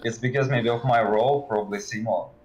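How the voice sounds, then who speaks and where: A man chatting as a developer guest on a livestream, speaking haltingly and politely, often searching for words. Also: mid-range voice, fairly even intonation, a little mellow, dull audio. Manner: hesitant, halting, polite and self-effacing. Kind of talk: casual chat on a livestream. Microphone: voice call.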